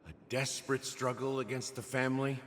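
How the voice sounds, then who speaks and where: A man playing a blunt, gruffly protective comrade in a game. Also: medium pitch, little pitch movement, brisk, slight background noise, natural voice. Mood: exasperated, blunt. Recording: game audio, long reverb, loud music.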